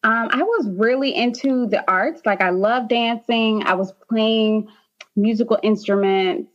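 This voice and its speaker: A woman's voice: fairly high pitch, measured pace, dull audio. A young woman from Haiti, a nurse practitioner, chatting as a podcast guest with many "um"s and "like"s. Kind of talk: casual interview.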